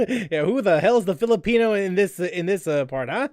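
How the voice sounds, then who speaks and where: Animated joking male game streamer. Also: sits high, moderate pace, dry recording. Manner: joking, reacting. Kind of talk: casual gameplay commentary.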